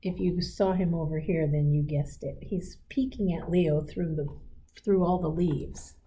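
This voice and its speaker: A woman reading a children's picture book in an expressive, encouraging manner, with chatty asides to young listeners. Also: lowish voice, a little slow, rough recording. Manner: encouraging, explains pictures and asks listeners questions; expressive, emphatic storybook delivery. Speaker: school superintendent reading to children.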